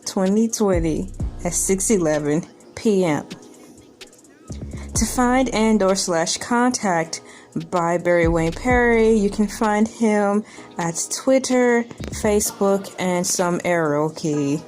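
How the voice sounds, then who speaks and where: A woman's voice: mid-range voice, slow pace, a little mellow, faint music behind. A playful, easily amused woman hosting an online video, reading a news article aloud and commenting on it casually. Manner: playful, giggly, easily amused by what she reads.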